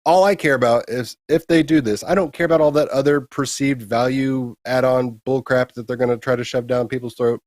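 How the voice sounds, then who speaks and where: A man with a mid-pitched voice in a dry recording, co-hosting a gaming show. He talks casually but bluntly, stressing his opinions with salty slang. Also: fairly even intonation, fairly quick, hardly any pauses, a little mellow, dry recording. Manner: blunt, emphatic, mildly crude slang. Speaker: co-host of a retro gaming show.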